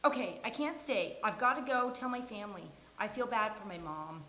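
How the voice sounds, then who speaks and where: A woman's voice: medium pitch, animated, moderate pace, pushed and bright. A woman playing a neurotic, wry, eager sitcom heroine in a live table read. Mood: excited, wry. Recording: roomy live venue with faint hiss.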